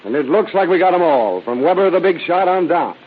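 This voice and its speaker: A man's voice: fairly high pitch, animated, a little fast, husky, phone-quality sound. A man playing a shrewd American police captain, clipped and slangy, in old-time radio style. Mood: satisfied, brisk. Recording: thin, faintly noisy old radio recording.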